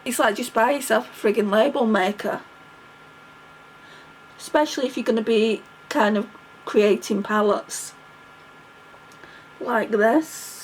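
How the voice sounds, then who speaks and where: A woman's voice: on the high side, measured pace, long pauses, a little hiss or hum, low-quality recording. A middle-aged woman vlogging casually while doing her makeup, chatty and rambling, with a stuffy nose from a cold. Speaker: beauty vlogger.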